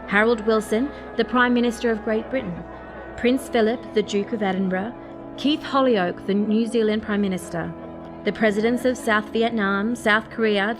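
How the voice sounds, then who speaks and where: A woman giving clear, informative, scripted historical narration in a radio play series. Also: mid-range voice.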